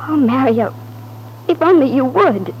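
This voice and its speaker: An actress playing a devoted, anxious young wife in an old-time radio drama, pleading earnestly. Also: female voice, high-pitched, lively intonation, a little slow, a little mellow, a little hiss or hum, rough recording. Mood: tender, troubled. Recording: husky-sounding vintage radio recording.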